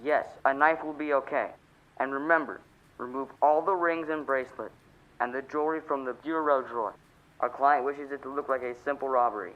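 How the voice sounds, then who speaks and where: An actor playing a cold, methodical crime boss in a suspense radio play, giving terse, businesslike orders. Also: male voice, medium pitch, steady tone, fairly quick, natural voice. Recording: dull muffled telephone-line sound.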